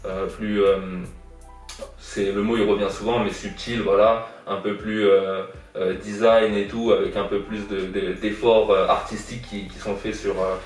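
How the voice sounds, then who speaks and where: A man's voice: lowish voice, little pitch movement, normal speed, faint music behind. A young man born in Iran and raised in France, a guest artist answering interview questions casually, hesitant, with many fillers and repeated words. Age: young adult. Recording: echoey room, distant from mic.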